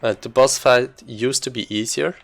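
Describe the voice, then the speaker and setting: A man giving casual live commentary on his own video game run, thinking aloud in a halting way. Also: medium pitch, steady tone, gravelly. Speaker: speedrunner commentating own gameplay.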